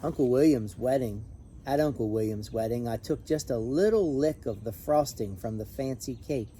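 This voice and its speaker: A man reading a children's picture book aloud in an expressive, animated, emphatic way. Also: medium pitch, moderate pace, slight background noise. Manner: animated, emphatic on repeated refrain. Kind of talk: expressive storybook read-aloud with brief asides.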